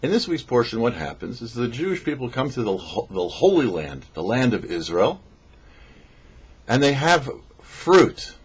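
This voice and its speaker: A man's voice: mid-range voice, very animated, normal speed, husky. A man reading a scripted religious lesson as a rabbi, in a didactic manner that poses questions and then answers them. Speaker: rabbi giving a weekly Torah portion talk. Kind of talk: scripted religious teaching, read aloud. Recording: dull, slightly lo-fi recording.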